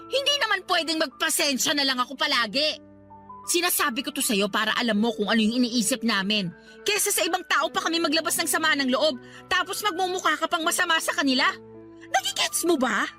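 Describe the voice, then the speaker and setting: A woman's voice: high-pitched, expressive, brisk, bright, forceful tone, soft background music. An actress playing an exasperated young woman in a radio drama, firm and reproachful as she lectures a housemate. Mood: stern. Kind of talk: Tagalog radio drama acting.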